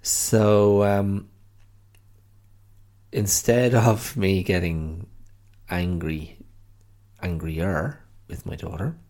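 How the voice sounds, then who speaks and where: Very deep, gravelly Irish man, stuffy-nosed. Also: fairly even intonation, extremely slow, long pauses, dark tone. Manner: rambling, self-correcting, chatty. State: stuffy nose from hay fever; tired. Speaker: podcast host. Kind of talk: casual solo podcast chat.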